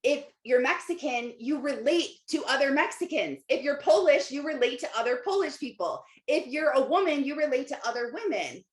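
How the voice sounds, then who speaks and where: A woman's voice: high-pitched, lively intonation, normal speed, hardly any pauses. Woman coaching casually in an echoey room. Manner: informal, conversational, emphatic with rhetorical questions and repetition. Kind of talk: casual motivational livestream talk to viewers, reading out comments. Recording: roomy, echoey sound.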